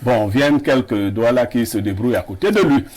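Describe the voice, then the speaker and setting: A man's voice: medium pitch, lively intonation, a little fast, a little mellow, slight background noise. Cameroonian man, proud and expansive. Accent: Cameroonian French. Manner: expansive, listing names with playful pride. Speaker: panel guest. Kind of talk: studio debate chat. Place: TV studio.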